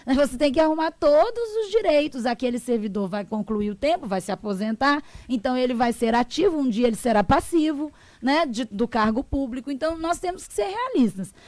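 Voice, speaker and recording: A woman's voice: high-pitched, animated, fairly quick, few pauses, darkish tone, no echo. A woman lawyer on a talk show, explaining in a didactic way and acting out her clients' words. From Brazil. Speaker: social security lawyer, guest.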